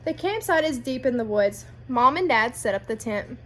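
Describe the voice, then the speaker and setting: A woman's voice: a little high, animated, normal speed, fairly bright tone. A woman reading a children's picture book aloud as a storyteller, addressing young listeners with guiding questions.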